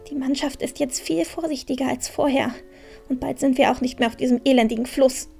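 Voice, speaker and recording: A woman's voice: high voice, steady tone, fairly quick, creaky, warm tone, breathy edge, faint music behind, exaggerated voice. A young woman playing a proud, stubborn young heroine in a fantasy audio drama, talking dramatically to herself. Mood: stern, determined. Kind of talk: fan-made fantasy audio drama acting.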